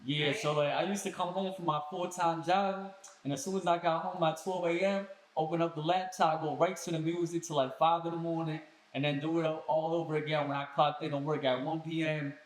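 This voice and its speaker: A young man from Colombia, a guest musician telling his story in a casual podcast chat with relaxed street slang. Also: fairly high pitch, a little fast. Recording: echoey room.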